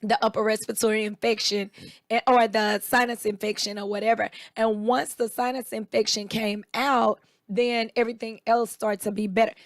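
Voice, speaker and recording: A woman with a raspy, slightly hoarse voice, chatting casually as co-host and acting out the people in her stories. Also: fairly high pitch, moderate pace, dry recording, studio quality. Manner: animated storyteller, quotes people in raised voices. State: recovering voice, a bit hoarse. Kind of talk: casual chat.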